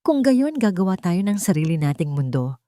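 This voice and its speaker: Woman reading as earnest Filipino gentleman in love. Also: on the low side, big pitch swings, normal speed, no room sound, clean studio sound. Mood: earnest, loving. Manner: earnest, serious. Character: sincere, gentle millionaire father in love. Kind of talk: audio storybook drama.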